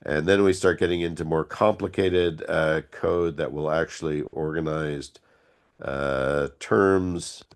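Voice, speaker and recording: A man teaching an informal online software tutorial over a video call, explaining step by step with some hesitation, sounding slightly congested from a cold. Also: rumbling low, fairly even intonation, slow. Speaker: workshop instructor.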